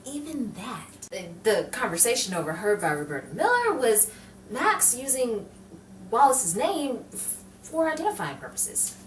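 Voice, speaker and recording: A sardonic newspaperwoman from a noir radio play, acted by a woman, tells her story theatrically. Her voice is on the high side and swings widely, heard from a distance in a roomy, echoing space. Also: very animated, unhurried. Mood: dramatic, knowing. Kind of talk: old-time radio noir acting and narration. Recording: roomy distant stage recording, faint noise.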